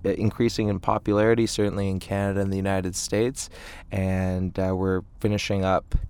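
A man's voice: sits low, vocal fry, a little mellow, clean tone. A man, an indie band frontman, chatting casually as an interview guest in a hesitant, meandering way. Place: inside a parked tour van.

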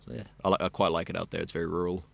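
A man's voice: sits low, flat delivery, rapid-fire, vocal fry. A young man from South Africa, a radio student chatting easily and at length in an interview. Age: young adult. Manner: chatty, rambling and easygoing. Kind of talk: casual interview chat.

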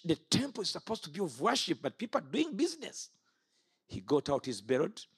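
A man in his fifties, originally from Uganda, preaching a fiery sermon. His voice is gravelly and a little husky. He swoops between low, steady statements and sudden high, forceful outbursts. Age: 50s. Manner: impassioned, rises and falls sharply.

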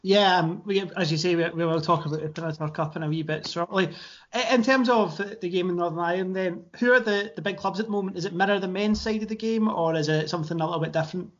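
Fast-talking podcast host. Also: male voice, high-pitched, highs cut off. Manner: quick, chatty, with fillers and restarts. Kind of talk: casual podcast interview.

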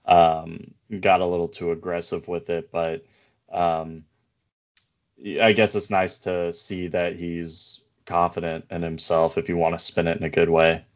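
A hoarse man with a very deep, flat voice talks basketball in a hesitant, low-key way. He pauses often and restarts his sentences. The audio is slightly dull and lo-fi. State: hoarse, voice worn from yelling. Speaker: basketball draft podcast host; coach. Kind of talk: casual sports analysis chat.

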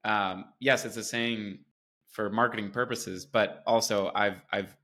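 Man, from the United States, low voice, flat intonation, earnest, enthusiastic, interview guest. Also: a little slow. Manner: earnest and enthusiastic, with repeated restarts. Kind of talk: conversational interview.

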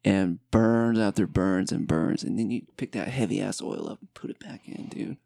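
A man's voice: fairly low pitch, moderate pace, gravelly, warm tone, no room sound, studio quality. A man hosting a podcast, chatting casually in a laid-back way, swearing and calling people "dude". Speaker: podcast host. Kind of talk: casual chat.

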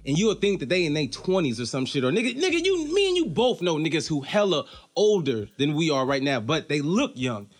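A man hosting a casual interview, excitable and slangy, firing off questions. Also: fairly high pitch, a little fast, few pauses. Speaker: podcast host.

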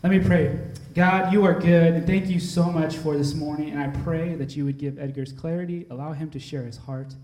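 A man, the pastor of the church, introducing a guest with emotion and then leading a prayer in a halting voice. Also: on the high side, fairly even intonation, darkish tone. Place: church sanctuary. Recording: roomy, distant from the mic.

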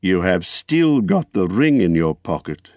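A man voicing a stern but protective old wizard in a storybook, giving firm, measured commands. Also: sits low, big pitch swings, a little mellow, no echo. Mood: commanding.

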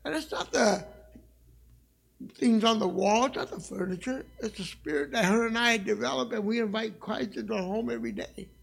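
A middle-aged man with a raspy voice, preaching a sermon in a church as a guest evangelist, passionate and conversational, joking with the congregation. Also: high-pitched, moderate pace, fairly bright tone. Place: church sanctuary.

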